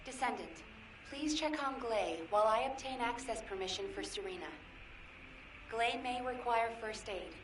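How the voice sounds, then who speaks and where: Woman as brisk high-voiced sci-fi commander. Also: animated, background noise, lightly acted. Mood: decisive, official. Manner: direct, measured, formal. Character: brisk, businesslike female sci-fi operations commander. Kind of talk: scripted video game dialogue.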